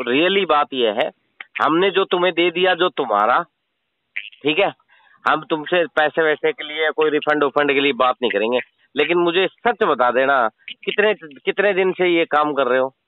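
A man with a fairly high voice talks over a narrow, tinny phone connection. He argues in an informal way, probing and pressing the other person to hear him out. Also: normal speed, no room sound. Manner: informal, probing, repeatedly demanding to be heard. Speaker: scam-baiter confronting a caller. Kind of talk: casual phone argument. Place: recorded phone call.

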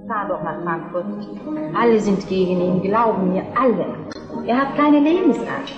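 A woman's voice: medium pitch, moderate pace, over music, close to an everyday voice. An actress playing an indignant, urgent Prussian queen in a German historical radio drama. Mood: reproachful, agitated. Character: insistent, indignant Prussian queen, wife of the king. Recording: dull lo-fi radio play, echoing hall.

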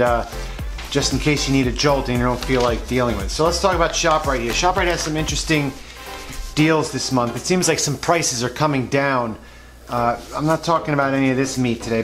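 A man hosts a vlog, talking casually and hesitantly about supermarket flyers, with many fillers. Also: mid-range voice. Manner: rambling, hesitant, full of uh fillers. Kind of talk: casual unscripted commentary. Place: indoors at a table, voice-over to overhead shots. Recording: music playing under the voice. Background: background music.

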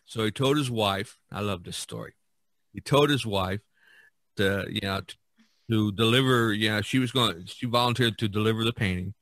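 A man hosting a podcast, telling stories casually and enthusiastically, with a halting delivery. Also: lowish voice, fairly bright tone, no room sound. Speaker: podcast host. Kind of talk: casual conversational storytelling.

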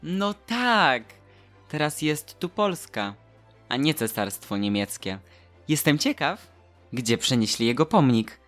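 A man's voice: a little high, expressive, takes it slowly, a bit bright, soft background music. A man voicing a cheerful, curious and slightly timid statue boy in an audio drama, exclaiming in a naive way.

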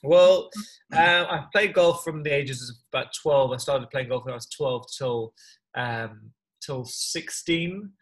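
A man's voice: mid-range voice, a little slow, rough recording. Guy early 30s chatting from home on webcam, laid back, kinda rambly, mid voice. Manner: easygoing, rambling with repeats. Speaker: guest, personal trainer and golfer. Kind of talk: casual video-call interview. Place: home room, indoors. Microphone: video call webcam.

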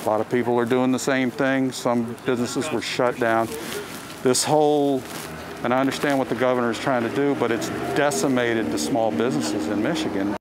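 A man's voice: mid-range voice, fairly even intonation, brisk. A middle-aged American man, an attorney, interviewed outdoors through a muffling face mask. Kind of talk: on-the-street news interview. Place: outdoor roadside. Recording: outdoor street noise, vehicles.

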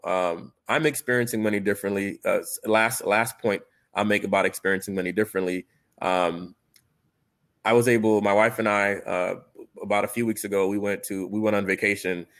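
A man from Haiti telling his story earnestly as a panelist on a video call, with many fillers and restarts. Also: fairly low pitch.

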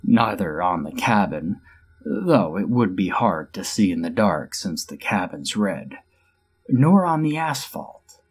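A man with a low, husky voice reads a first-person horror tale at a steady pace. His delivery is calm, with a dry, joking edge. Soft music plays under his narration. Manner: steady storytelling, dry humor.

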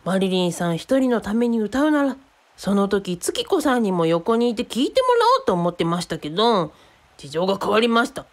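Radio drama youth, male role voiced by a woman: earnest, polite, matter-of-fact, with animated intonation. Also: medium pitch, fairly quick, close to an everyday voice. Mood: earnest, insistent.

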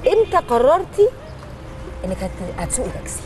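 A young woman with an Egyptian accent interviewing conversationally outdoors, prompting with quick follow-up questions. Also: sits high, big pitch swings, darkish tone, soft background music. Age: young adult. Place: city street, outdoors. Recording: clear outdoor street noise.

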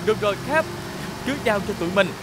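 A man voicing a rescue car who leads a team in a children's cartoon, calm and commanding. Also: high-pitched, quick pace, rough recording. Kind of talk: animated children's cartoon dub.

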